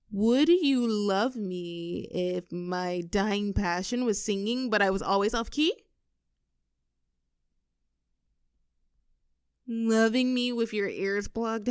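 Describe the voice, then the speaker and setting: A woman's voice: fairly high pitch, no room sound, studio quality. A woman playing an affectionate, teasing young girlfriend in an intimate roleplay audio, coaxing and giggly in a conversational way. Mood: teasing, amused. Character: playful, clingy, affectionate young girlfriend teasing her partner.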